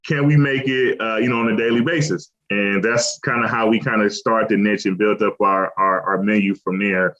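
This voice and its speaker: A man who owns a restaurant, talking in a relaxed, rambling way with lots of fillers as an interview guest, from Cleveland. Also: lowish voice, normal speed, hardly any pauses. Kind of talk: casual interview talk. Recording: lo-fi muffled audio.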